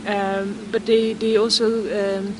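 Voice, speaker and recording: A woman, a project founder speaking earnestly in a documentary interview and pausing often to find words. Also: medium pitch, little pitch movement. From Netherlands. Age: 20s. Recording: outdoor street noise with passing traffic.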